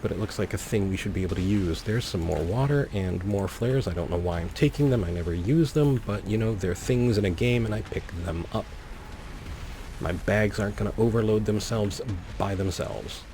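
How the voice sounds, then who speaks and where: A man's voice: fairly low pitch, normal speed, mellow. A man giving casual, playful gameplay commentary as a let's play host, rambling with wry asides. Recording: faint background noise.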